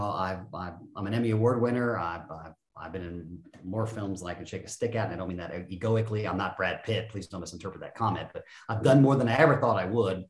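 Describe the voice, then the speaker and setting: A man in his fifties, a podcast guest, telling his story in a casual, animated and self-deprecating way with frequent laughs. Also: fairly low pitch, reverberant. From United States. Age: 50s. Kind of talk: casual interview talk.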